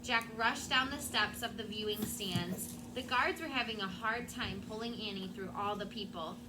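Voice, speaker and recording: A woman teacher doing an expressive storybook read-aloud for her class. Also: mid-range voice, normal speed. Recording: clear background room noise.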